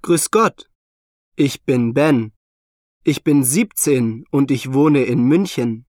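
Brief: man, exam dialogue voice, slow deliberate pace, dry studio sound. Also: mid-range voice, lively intonation, darkish tone, dry recording. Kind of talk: scripted language-exam dialogue.

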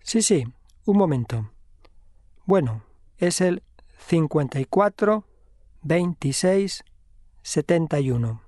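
An actor playing a friendly young man in a slow, scripted dialogue for language learners. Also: on the high side, lively intonation, mellow, no room sound, studio quality. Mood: helpful. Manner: clear, deliberate friendly delivery. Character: friendly young man, helpful hotel worker.

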